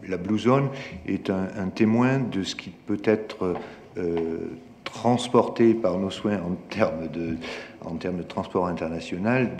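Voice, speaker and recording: Male corporate panelist, low pitch, halting formal delivery, roomy sound with some background noise. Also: darkish tone. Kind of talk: formal business presentation at a forum. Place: large conference room at a forum. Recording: echoey hall, reverberant, some noise.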